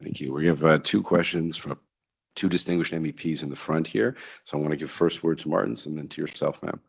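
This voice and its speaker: A man moderates a conference question session. His voice is extremely low, with a heavy creak and a husky grain. He invites questions and passes the floor between speakers. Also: fairly even intonation, fairly quick. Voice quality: gravelly, husky.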